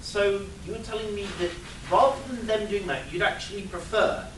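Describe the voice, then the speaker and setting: A man's voice: sits high, moderate pace, lightly acted. A man playing a sarcastic, foul-mouthed and exasperated superhero sidekick in a comic radio play, grumbling his lines. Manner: sarcastic, grumbling, stammering starts. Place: convention hall stage. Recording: echoey room, distant mic, noisy.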